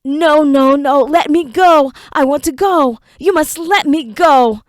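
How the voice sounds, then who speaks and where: A woman doing a terrified young captive, high and pleading. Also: expressive, slow pace, no echo, studio quality, heavily acted. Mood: desperate. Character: frightened young man captured by creatures.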